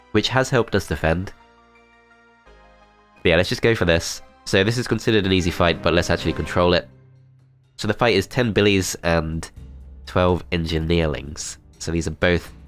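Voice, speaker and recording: A man with a cold and a blocked-up, nasal voice, calmly talking through his moves in a strategy game. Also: deep voice. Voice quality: nasal, congested. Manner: calm, methodical, thinking aloud. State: has a cold, blocked-up nose. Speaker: gameplay commentator. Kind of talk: strategy game let's play commentary.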